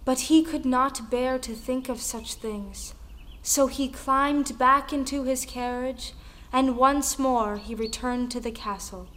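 A woman narrating a scripted folktale in an expressive, dramatic way. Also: high-pitched, takes it slowly. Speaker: storyteller. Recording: music under the voice. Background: music.

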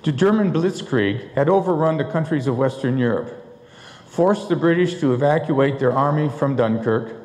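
An older man giving a history lecture from a lectern in a large auditorium, reading in a measured way with occasional restarts and fillers. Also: on the high side, darkish tone. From United States. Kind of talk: public history lecture read from notes. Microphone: lectern mic at a distance. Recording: roomy hall reverb.

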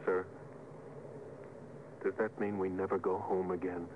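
A man's voice: low-pitched, level tone, measured pace. Earnest, reasoning space officer, male, deferential manner, old radio drama on a muffled, hissy recording. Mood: subdued, doubtful. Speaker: actor. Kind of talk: mid-century radio drama acting.

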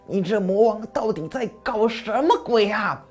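A man voicing the devil's old grandmother, talking softly and slowly. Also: sits high, faint music behind, pitched above the performer's own voice, natural voice. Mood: scolding.

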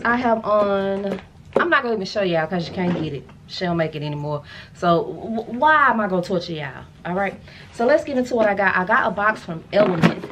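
An American woman in her thirties chatting casually to camera in a sassy, slangy, animated way, as a vlogger showing a haul. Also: medium pitch, slight background noise. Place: furnished room at home, indoors.

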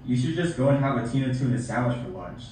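A man's voice: mid-range voice, lively intonation, fast talker, a little mellow, close to an everyday voice. A man voicing a mocking, boastful schoolboy bully in an amateur puppet show. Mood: taunting. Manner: taunting, sneering. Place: theater, camera far from puppet stage. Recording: distant echoey theater recording, faint noise.

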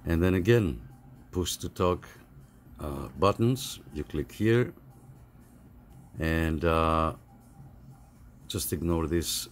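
Man, low pitch, casual instructional delivery, halting step-by-step phrasing, faint background noise. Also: animated. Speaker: tutorial host. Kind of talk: casual step-by-step phone app tutorial.

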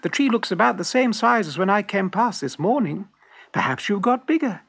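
A smug little storybook weasel, played by a narrator, with a high, slightly rough voice. It gives a mocking, matter-of-fact scolding with no sympathy at all. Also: lively intonation, moderate pace, fairly bright tone, dry recording, exaggerated voice. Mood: amused, dismissive. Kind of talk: children's storybook character voice.

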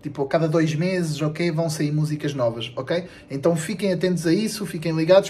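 A young adult man with a European Portuguese accent speaks casually to camera as a video creator. His delivery is animated, blunt and slangy, with swearing and emphatic repetition. He records himself at arm's length in a small room at home. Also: on the high side, slightly rough. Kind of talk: casual vlog announcement to camera.

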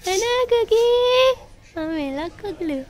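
A young woman with a slightly hoarse voice from a cold, chatting casually to her phone camera at home and baby-talking in a sing-song way. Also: extremely high pitch, animated, takes it slowly, a little mellow. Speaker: family vlogger. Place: small room at home, indoors. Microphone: phone camera held close.

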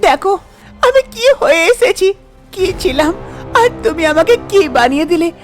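A woman's voice: extremely high pitch, expressive, unhurried, soft background music, pitched above the performer's own voice. A woman voicing a timid, eager young bride in a cartoon story, pleading and full of feeling. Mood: tearfully grateful. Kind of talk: cartoon story character acting.

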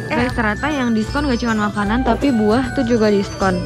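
A woman's voice: a little high, a little fast. A young woman chatting casually as she films a shopping vlog, upbeat and explaining things as she goes. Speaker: vlogger. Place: supermarket, then home dining room. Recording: background music.

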